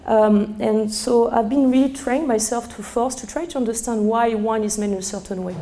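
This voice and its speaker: A middle-aged woman with a strong French accent, a sommelier speaking live to a seminar room, hoarse from losing her voice and chatty with many fillers. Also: a little high, fairly even intonation, normal speed, mellow, a little hiss or hum. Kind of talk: live talk to a seminar audience.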